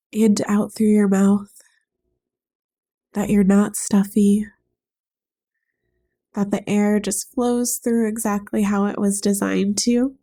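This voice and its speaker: A young woman with a stuffy nose from a summer cold, hosting a reflective solo podcast in an earnest, gentle way. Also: mid-range voice. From United States. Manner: earnest, gentle, thinking aloud. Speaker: podcast host.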